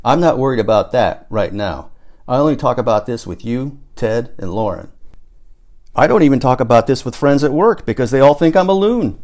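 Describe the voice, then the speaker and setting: A man voicing an excitable, conspiracy-minded amateur rocket schemer in audiobook dialogue, explaining things emphatically. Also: medium pitch, moderate pace, close to an everyday voice. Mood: insistent. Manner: emphatic, explanatory, catchphrase-prone.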